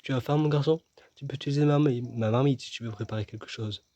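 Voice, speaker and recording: A man's voice: mid-range voice, fast talker, darkish tone, voice pushed up, close to an everyday voice. A man voicing a kindly old man in a game, reading his lines in a whispery, raised voice.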